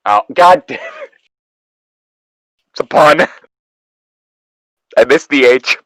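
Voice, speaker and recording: A man's voice: a little high, huge pitch swings, slow, a bit bright, no room sound, poor audio. A man casually reading a story aloud as host, breaking off for joking, sweary asides.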